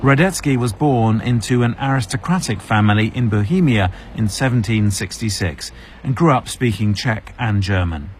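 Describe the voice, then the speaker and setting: A man reporting as a news correspondent in a measured, scripted narration. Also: lowish voice, expressive, slight background noise.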